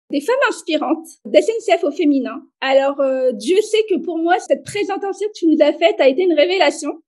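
A woman's voice: high voice, a little mellow. A woman hosting a podcast as an HR expert, friendly and enthusiastic, addressing the listener directly.

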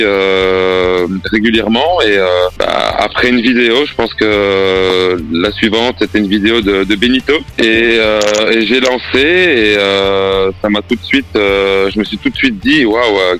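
A man in his thirties from Belgium talks as a podcast guest, with a low voice. He speaks casually and haltingly, restarting often and filling pauses. Faint music sits behind him. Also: fairly bright tone, clear, resonant tone. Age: 30s. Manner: halting, many fillers and restarts. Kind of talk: casual interview conversation.

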